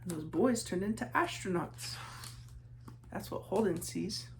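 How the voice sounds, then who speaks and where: Slow-paced man reading a children's story. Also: high voice, background noise. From New Mexico, United States. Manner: measured, rhythmic reading with a repeated refrain. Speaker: children's author reading own book. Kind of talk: read-aloud storytelling with questions to young listeners.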